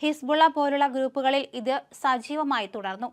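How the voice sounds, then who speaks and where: A woman's voice: high voice, normal speed. A woman with a Kerala Malayali accent presenting the news as scripted narration.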